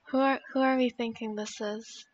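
A woman's voice: fairly high pitch, fairly even intonation, normal speed. A young woman live-streaming a game in casual, reactive chat, swearing casually, on slightly dull lo-fi audio.

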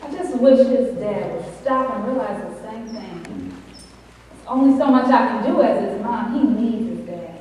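A woman's voice: fairly high pitch, unhurried, warm tone, natural voice. A middle-aged woman playing a weary, fed-up wife and mother in a stage play, emphatic, recorded in an echoey hall. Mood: weary, hurt. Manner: emphatic, conversational venting. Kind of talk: stage drama acting. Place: indoor theatre stage with living-room set. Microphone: far camera. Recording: echoey theatre hall, far camera.

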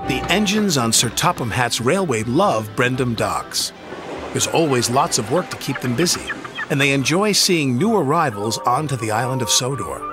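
A man reading a scripted children's story as its narrator. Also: medium pitch. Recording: music behind the reading.

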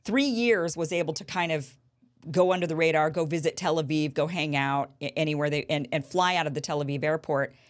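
Woman, thirties, show host, lower voice, animated storyteller, studio microphone. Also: a little fast. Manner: animated, gestures with her hands. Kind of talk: political interview, storytelling. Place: small studio with a bright backdrop. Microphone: studio mic on a boom.

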